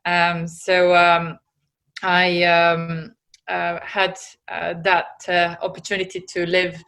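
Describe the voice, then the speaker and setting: A woman's voice: on the low side, monotone, slow pace, a bit bright, smooth. A woman in her forties from Serbia, speaking with a Serbian accent, talks about herself as an interview guest, hesitating often with fillers. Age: 40s. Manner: hesitant, many fillers, laughs easily. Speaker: guest entrepreneur. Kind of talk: casual interview chat.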